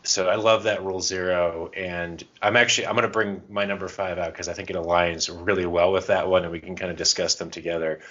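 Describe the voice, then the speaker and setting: A man's voice: low-pitched, fairly even intonation, a little fast, few pauses, a bit bright. A man hosting a podcast in casual, informal conversation, prompting his co-host as he goes. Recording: dull, lo-fi voice recording.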